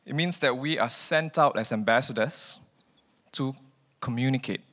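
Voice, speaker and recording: A man from Malaysia giving a guest sermon in a relaxed, chatty way, often repeating a word as he starts a phrase. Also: medium pitch, normal speed, in a large hall. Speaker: guest preacher. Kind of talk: informal sermon.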